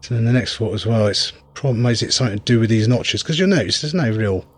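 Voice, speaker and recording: A man's voice: fairly low pitch, fast, breathy edge. A man giving casual gameplay commentary, rambling wryly as he thinks aloud while playing. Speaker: game reviewer and player.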